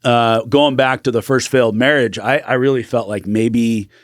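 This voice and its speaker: A man's voice: mid-range voice, steady tone, normal speed, no room sound, clean studio sound. A middle-aged man, a podcast guest, telling his life story in an earnest, reflective way. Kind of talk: candid personal storytelling.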